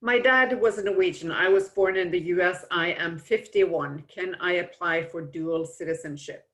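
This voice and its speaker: A woman's voice: on the low side, moderate pace, a bit bright. A woman moderating a webinar, steadily reading out audience questions and adding short explanations, over a video call.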